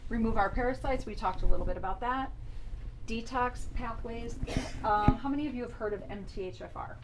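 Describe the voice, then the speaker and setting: A woman presents an informal health seminar to a seated audience, explaining terms patiently and pausing to check that people follow. She is recorded from across the room, so her voice sounds distant. A steady noise sits under everything. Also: a little high. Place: meeting room with a live audience.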